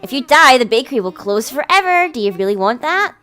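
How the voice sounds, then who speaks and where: A prim young lady, played by a woman, the doting little sister of a visual novel. The voice is high and lilting, composed but teasing and sweetly scolding. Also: sing-song, fairly quick, bright, forceful tone, soft background music, full character voice. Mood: stern.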